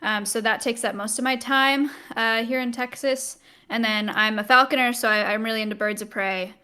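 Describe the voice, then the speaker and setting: Casting: young woman, early twenties, podcast co-host, bright forward voice, slightly high, informal chat. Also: fairly even intonation, normal speed, smooth. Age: 20s. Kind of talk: casual chat.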